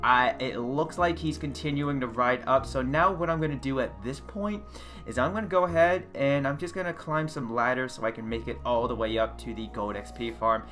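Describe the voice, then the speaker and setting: Male gameplay host, bright forceful voice, loud and chatty, desk mic, faint guitar music underneath. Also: mid-range voice, normal speed. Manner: loud, chatty, self-correcting. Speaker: gaming channel host. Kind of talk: casual game commentary. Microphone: desk USB condenser mic.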